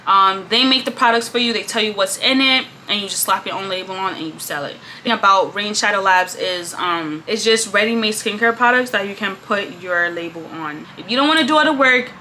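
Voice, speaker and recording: A young woman vlogging casually at her desk in a small room, laid-back and chatty, her voice a little congested and often trailing off softly at the ends of lines. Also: medium pitch, brisk, few pauses, slight background noise. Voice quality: slightly congested. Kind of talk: casual chat.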